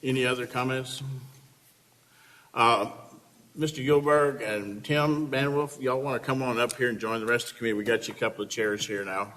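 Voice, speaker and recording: A man chairing a public committee meeting in a relaxed, folksy way. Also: medium pitch, fairly quick. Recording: long reverb in a meeting room, faint hiss.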